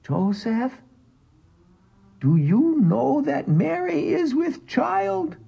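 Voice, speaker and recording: A man voicing an old father who whines and pleads in a high, strained voice. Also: expressive, a little slow, vocal fry, a little mellow, dull audio, exaggerated voice. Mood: hesitant. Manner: whining, pleading, rising to a shrill cry. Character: old whining father, fearful then overjoyed.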